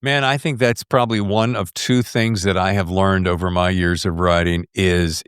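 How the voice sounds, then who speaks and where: A man's voice: sits low, normal speed, clear, resonant tone, dry recording, studio quality. A man hosting a casual podcast interview, talking animatedly and slipping into acting out his own thoughts. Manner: animated, acts out inner monologue. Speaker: podcast host interviewing an author.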